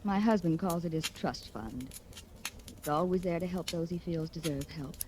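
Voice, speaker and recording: Poised, commanding actress as a wealthy radio-drama wife. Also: female voice, fairly low pitch, moderate pace, natural voice. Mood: commanding, persuasive. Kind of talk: 1940s radio drama acting. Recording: slightly noisy old radio recording.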